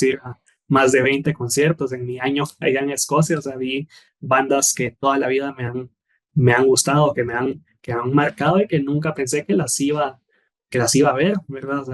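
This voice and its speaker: A young man with a Guatemalan accent speaks at a middle pitch, calm and unhurried. He often repeats words as he finds his footing. He closes his points with a small tag question. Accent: Guatemalan Spanish. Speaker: guest, former scholarship student. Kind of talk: relaxed interview answers.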